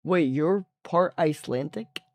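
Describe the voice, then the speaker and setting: A man's voice: a little high, measured pace, no room sound. A young man on a live stream chatting casually and easygoing about his work day. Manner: relaxed, easygoing, trails off mid-sentence. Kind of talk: casual chat.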